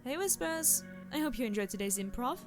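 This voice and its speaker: A woman's voice: high voice, expressive, brisk, soft background music. A woman ASMR performer chatting casually and directly to her listeners.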